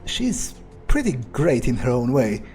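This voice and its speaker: A man improvising in-character video game commentary, thinking aloud in a deadpan, callous way with pauses. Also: mid-range voice, faint music behind. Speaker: gameplay player staying in character.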